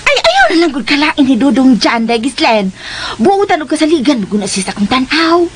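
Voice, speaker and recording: A woman playing a silly, slow-witted country housemaid in a comic radio drama, with exaggerated exclamations and cackles. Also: high voice, sing-song, music in the background. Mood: playful, naive. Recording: very noisy radio drama recording.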